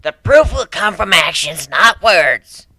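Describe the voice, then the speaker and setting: A man voicing a tough, gruff old female cat who leads a tribe in a dramatic story reading, brash and bossy. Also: high voice, very animated, slow, pushed and bright, no room sound, pitched above the performer's own voice, exaggerated voice, rough recording. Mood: stern warning.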